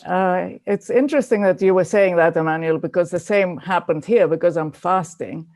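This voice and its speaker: A woman talking casually and thoughtfully on a video call, explaining her choices in short, halting phrases. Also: on the low side, a little mellow. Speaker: poetry reader.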